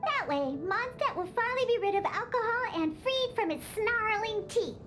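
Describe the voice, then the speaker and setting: A woman's voice: very high voice, expressive, normal speed, fairly bright tone, faint music behind. An actress playing a feisty young cat-girl bartender in a video game, with a cutesy, bossy, indignant manner. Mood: indignant, determined. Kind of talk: video game character acting.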